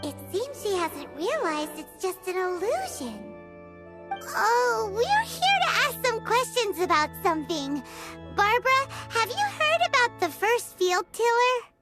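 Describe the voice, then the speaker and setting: Tiny squeaky childlike fairy sidekick. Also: female voice, big pitch swings, unhurried, music in the background, exaggerated voice. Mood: eager. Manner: speaks of herself in third person, exclamatory. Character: tiny cheerful childlike floating fairy companion, earnest and chatty. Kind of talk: video game character acting.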